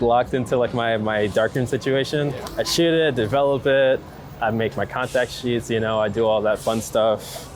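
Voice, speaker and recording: Young adult male, casual street interviewee, mid-pitched, slangy and halting, heavy urban background noise. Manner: slangy, halting, full of filler "like". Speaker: street photographer being interviewed. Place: outdoors on busy city streets. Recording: loud city traffic noise outdoors. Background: loud urban ambience and passing vehicles.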